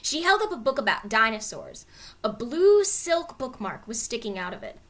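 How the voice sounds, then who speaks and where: Animated, high-voiced woman reading a storybook. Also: moderate pace. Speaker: story reader. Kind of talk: expressive children's storybook narration.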